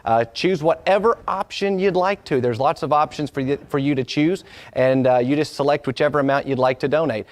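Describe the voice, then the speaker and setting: A man's voice: fairly high pitch, brisk, few pauses. Chatty, upbeat man hosting a fundraiser. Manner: chatty, salesy, stumbling at times. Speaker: fundraising host. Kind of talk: live event hosting.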